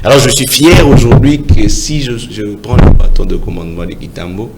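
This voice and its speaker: A man's voice: mid-range voice, animated, moderate pace. A man with a Congolese French accent, a local council candidate speaking earnestly in an interview and exhorting voters, switching between French and Lingala. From Kinshasa, Congo. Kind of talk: campaign interview. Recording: loud background noise.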